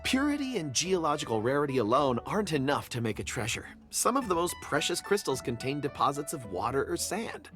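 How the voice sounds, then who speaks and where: Brief: a polite, flattering merchant, animated delivery, game music behind. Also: male voice, on the high side, brisk, few pauses. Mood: appraising. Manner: courteous, flattering. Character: smooth-talking gem merchant, flattering. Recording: game audio with clear background music.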